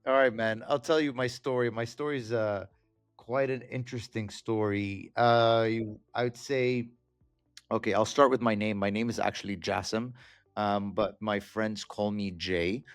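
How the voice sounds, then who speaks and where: Male podcast guest from Saudi Arabia, low pitch, halting conversational delivery, dry recording. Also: fairly even intonation, clear, resonant tone, dry recording, soft background music. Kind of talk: conversational interview, telling own story.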